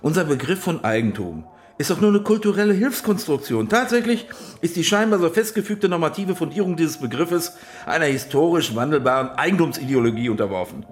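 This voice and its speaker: An actor playing a smug, wealthy boss in a radio crime play, lecturing pompously and with amused condescension. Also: male voice, on the high side, lively intonation, a little fast, lightly acted. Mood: smug philosophizing.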